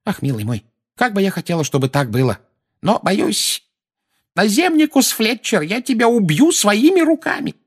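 An old woman acted by a male audiobook reader who nags and laments in a high, lively voice. She is fretful and fierce, forever exclaiming and scolding. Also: normal speed, husky. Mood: fretful, dramatic.

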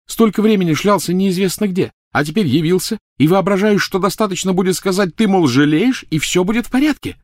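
Man doing shrill teenage witch girl, furious, screeching high, storybook reading, dry sound. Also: animated, a little fast, hardly any pauses, no room sound. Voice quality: shrill, screaming.

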